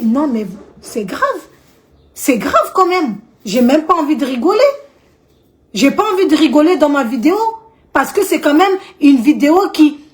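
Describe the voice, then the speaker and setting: An outspoken woman from Madagascar with a Malagasy accent, talking indignantly to camera in her vlog and repeating points for emphasis. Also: high-pitched. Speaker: vlogger.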